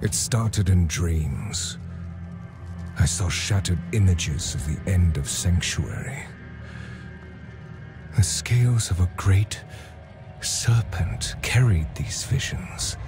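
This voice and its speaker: A grave, ancient seer weighed down by what he has foreseen. The voice is very low and unhurried, telling its story solemnly as in a dark fantasy game cinematic, with music clearly behind it. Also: lively intonation, heavily acted. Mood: solemn, burdened. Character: weary, grave ancient male prophet burdened by foresight. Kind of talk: dramatic game cutscene narration.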